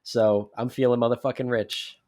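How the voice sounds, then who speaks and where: A man co-hosting a casual podcast, congested, talking in an animated, joking way. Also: mid-range voice, moderate pace. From New Jersey. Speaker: podcast co-host.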